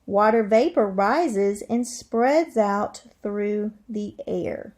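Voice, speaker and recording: A woman teacher reading aloud to young children in a deliberate, expressive way, thinking aloud and putting questions to her listeners. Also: medium pitch.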